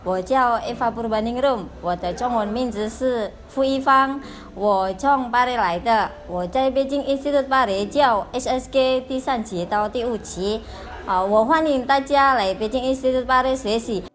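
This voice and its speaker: Friendly woman teacher, slightly high. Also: unhurried. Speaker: Mandarin teacher.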